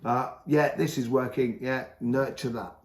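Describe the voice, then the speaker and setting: Man, hoarse, rambling tarot reader, medium pitch, roomy echo. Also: fairly even intonation, a little slow, a little mellow. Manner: rambling, meandering with many fillers and restarts. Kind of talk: casual monthly tarot reading.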